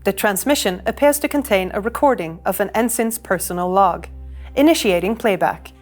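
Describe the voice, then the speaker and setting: Woman as composed female officer, mid-pitched, formal briefing, sci-fi game, faint music. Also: expressive, moderate pace, natural voice. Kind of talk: scripted game narration, sci-fi. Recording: music under the voice.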